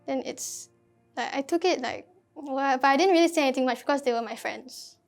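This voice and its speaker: A woman's voice: high-pitched, fairly quick, soft background music. A young woman with a Singaporean accent telling a personal story casually, peppering it with "like". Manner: peppers talk with "like". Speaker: interviewee.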